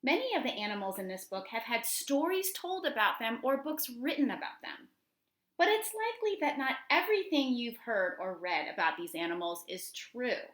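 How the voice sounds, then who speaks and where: A woman teacher reading children's nonfiction aloud, addressing young listeners directly with deliberate, instructional phrasing. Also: on the high side, sing-song, a bit bright, echoey room.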